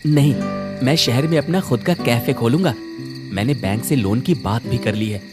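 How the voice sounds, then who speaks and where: A man's voice: mid-range voice, normal speed, natural voice. A man playing an ambitious young city-educated son in a cartoon story, earnest and insistent. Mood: earnest, frustrated. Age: young adult. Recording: music under the voice.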